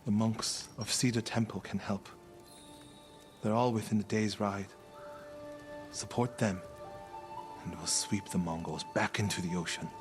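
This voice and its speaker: Weary, low-voiced warrior monk, played by a man. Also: fairly even intonation, close to an everyday voice. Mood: quiet resolve. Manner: slow, solemn, respectful. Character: humble, weary warrior monk, devout and sorrowful. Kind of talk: cinematic game dialogue. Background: music.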